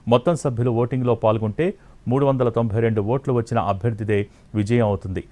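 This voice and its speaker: A man reading scripted news as an anchor in a formal, measured newsreader delivery. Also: medium pitch, steady tone, dark tone. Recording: music bed under the voice. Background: news music.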